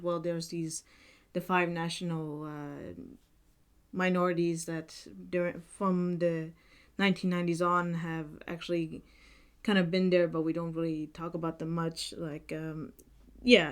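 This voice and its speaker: Young woman from Sweden, earnest book vlogger, lowish smooth voice, lots of "like" and "uh". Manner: earnest, many fillers and restarts. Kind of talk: casual book review vlog.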